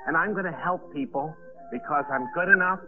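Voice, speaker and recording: A tinny, radio-like clip with music behind it. A man plays an earnest, soft self-help character with a fairly high voice, soothingly reciting affirmations to himself. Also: fairly quick, lightly acted.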